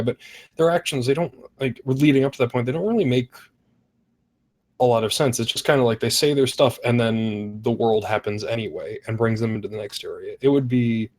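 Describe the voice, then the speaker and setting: A man's voice: mid-range voice, a little mellow. A man giving casual script feedback in an online group call, point by point, often searching for his words. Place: online voice chat.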